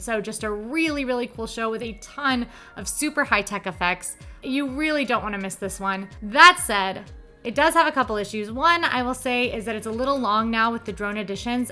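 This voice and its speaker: A woman's voice: a little high, bright, forceful tone. A young woman reviewing theme park rides in an enthusiastic, chatty way with playful asides. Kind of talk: upbeat ride review. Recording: music bed under voice.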